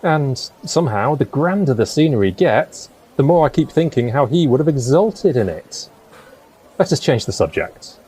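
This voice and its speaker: An actor playing a dreamy, melancholy gentleman poet in a period audio drama, with a wistful, literary manner. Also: mid-range voice, very animated, moderate pace, breathy edge, poor audio. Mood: mournful.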